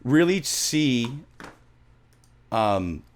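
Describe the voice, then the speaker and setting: A man's voice: mid-range voice, sing-song, extremely slow. A middle-aged man giving a casual music production tutorial, rambling and thinking aloud as he works, recorded close on a mic in a small home studio.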